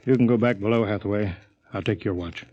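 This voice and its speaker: An actor playing a steady spaceship captain who speaks tersely with calm authority, in a 1950s radio drama. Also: male voice, fairly low pitch, fast talker, dull audio, close to an everyday voice. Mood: calm, watchful. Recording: very noisy old radio recording.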